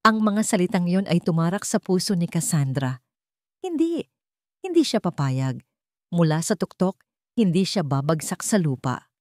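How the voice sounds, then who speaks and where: Low-pitched woman narrating a drama. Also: sing-song, normal speed, pauses often, no room sound, studio quality. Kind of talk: scripted dramatic story narration.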